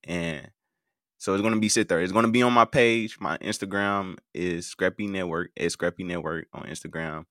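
A man's voice: deep voice, moderate pace, bright, forceful tone, dry recording, clean studio sound. An American man hosting a live call-in show in a casual, hesitant way, often repeating his words. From United States. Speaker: podcast game show host.

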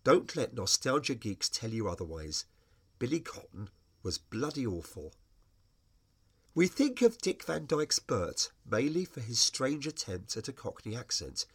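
Middle-aged British male narrator for wry personal essays; very lively intonation, slightly husky edge. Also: mid-range voice. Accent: British English. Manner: wry, expressive storytelling. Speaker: writer reading own personal essay. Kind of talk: scripted narration of humorous memoir.

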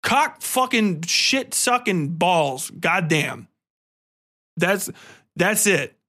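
A man's voice: high voice, animated, very slow, sharp tone. A man hosting a solo podcast, talking casually in a profane, slangy, rambling way about films. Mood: exasperated. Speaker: podcast host. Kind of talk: casual solo film review and rant.

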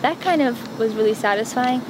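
A woman's voice: fairly high pitch, normal speed. A woman from Montreal whose first language is Italian, chatting in a rambling, filler-heavy way as an interview guest outdoors. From Montreal, Canada. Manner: rambling, chatty, many fillers, self-deprecating. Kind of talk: casual chat. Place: outdoors on a city street. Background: traffic and bus noise.

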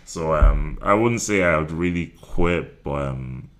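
A young man with an extremely low, deep voice talks loosely about his comedy career. He rambles a little, with frequent ums and self-corrections, in a relaxed conversational way. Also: measured pace, over a noisy crowd. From Nigeria and Ireland. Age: 20s. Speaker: stand-up comedian guest. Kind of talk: casual interview chat.

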